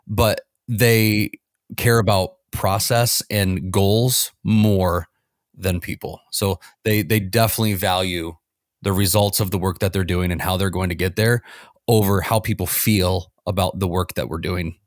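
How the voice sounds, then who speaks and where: A man's voice: sits low, steady tone, measured pace, no echo, studio quality. A man explaining things conversationally as an expert guest on a panel.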